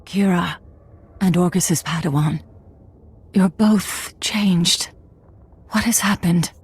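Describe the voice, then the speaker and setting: An actress playing a weary, weakened Jedi Master in a video game, gracious and calm despite her exhaustion. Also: lowish voice, slow, slightly rough, heavily acted. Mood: exhausted, grateful. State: exhausted, weak. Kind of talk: video game dialogue acting.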